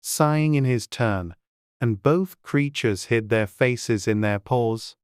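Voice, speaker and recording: A woman's voice: a bass voice, slow pace, darkish tone, smooth, dry recording, clean studio sound. A woman reading scripted storybook narration as an audiobook narrator.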